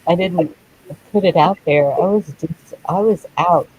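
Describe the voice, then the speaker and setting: Slow, mellow woman in casual chat. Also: on the low side, husky, poor audio.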